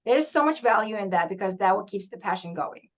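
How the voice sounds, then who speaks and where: A woman's voice: mid-range voice, expressive, a little fast. A woman hosting an interview, affirming her guest and talking casually with frequent fillers. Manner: warm, affirming, frequent "you know" fillers. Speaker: podcast host, interviewer. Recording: slightly dull audio.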